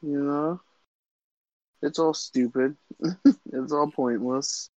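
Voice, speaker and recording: A man's voice: fairly high pitch, steady tone, takes it slowly, a little mellow, dry recording, low-quality recording. A man co-hosting a podcast in casual, profane banter, using a lot of bro-style slang. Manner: slangy, says "bro" often. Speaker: podcast co-host.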